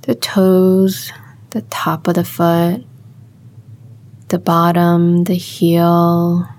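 A woman guiding a body scan meditation, speaking calmly and gently, giving unhurried instructions with long pauses. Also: lowish voice. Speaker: meditation guide.

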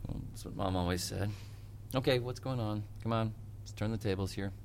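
An actor playing a self-pitying, wisecracking office writer and failed novelist in a satirical audio drama, with a neurotic, joking manner. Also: male voice, on the low side, brisk, a little mellow, a little hiss or hum, lightly acted.

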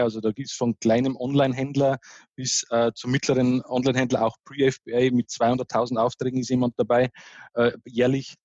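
A man's voice: medium pitch, a little mellow, husky, no room sound, lo-fi sound. A man with a Bavarian accent, a start-up founder, telling his story as a guest in a casual interview, with many fillers and restarts.

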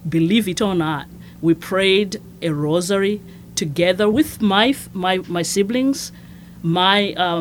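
Soft-spoken woman from Zimbabwe, a nun. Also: mid-range voice, a little hiss or hum. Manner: soft-spoken, hesitant with many fillers and restarts. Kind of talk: conversational interview.

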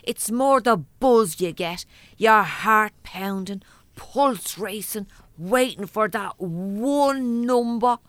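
A woman's voice: mid-range voice, lively intonation, slow, a bit bright, no room sound. An actress playing a cheeky, blunt working-class cleaning woman with an Irish accent, chatting in an audio drama. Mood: enthusiastic.

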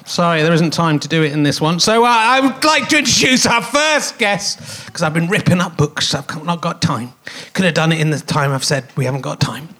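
A man's voice: fairly high pitch, expressive, a little fast, hardly any pauses, bright, forceful tone. A middle-aged man with a snotty cold hosting a comic live podcast on a theatre stage, rambling and self-mocking with lots of fillers. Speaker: podcast host.

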